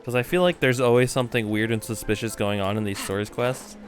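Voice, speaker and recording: A man's voice: mid-range voice, fairly even intonation, fast talker. A man giving casual live gameplay commentary, slangy, sweary and rambling. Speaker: game streamer. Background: game music.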